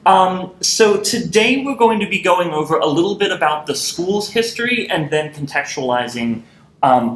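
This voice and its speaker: A man's voice: on the high side, fairly bright tone. A man who works as a university archivist giving a public lecture in an upbeat, teacherly way with frequent ums. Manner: upbeat, teacherly, many fillers. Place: lecture hall. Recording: echoey hall with faint clicks.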